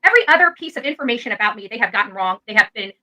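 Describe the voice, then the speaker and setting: A woman's voice: fairly high pitch, expressive, rapid-fire, pushed and bright. A woman making informal video commentary in an insistent, defensive way. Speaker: online content creator.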